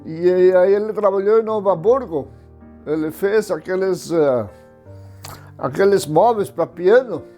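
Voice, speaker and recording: A man's voice: sits high, measured pace, mellow, soft background music. A man in his eighties from southern Brazil, speaking in a documentary interview about his father in short, matter-of-fact remarks. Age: 80s.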